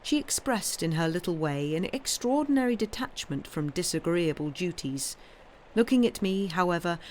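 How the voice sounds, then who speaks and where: A woman reading a classic novel aloud in an expressive, literary narrating style. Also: lowish voice. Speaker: reader, streamer. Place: live stream. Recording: clearly noisy background.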